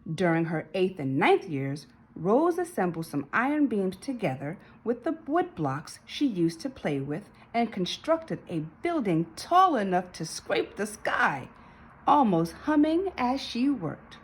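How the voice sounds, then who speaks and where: A woman narrates a children's storybook with lively, swooping intonation. Her mid-pitched voice has a husky edge, and she leans on key words for dramatic emphasis. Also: measured pace. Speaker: storybook reader. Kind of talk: expressive children's read-aloud narration.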